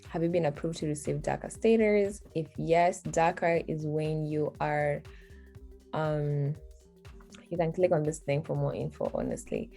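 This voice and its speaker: A woman's voice: sits low, very animated, unhurried, vocal fry, dark tone, soft background music. A young woman from Kenya whose first languages are Swahili and Luo, chatting casually as she walks through a form on screen, thinking aloud and laughing at herself. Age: young adult. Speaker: video tutorial host. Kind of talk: screen-recorded walkthrough.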